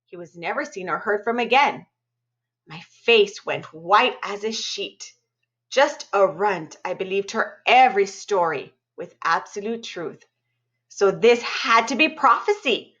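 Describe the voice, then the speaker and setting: A woman teacher reading aloud and chatting to her middle school students, with a playful, expressive delivery. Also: mid-range voice, measured pace. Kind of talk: expressive read-aloud storytelling with casual asides to students. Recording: dull, muffled lo-fi audio.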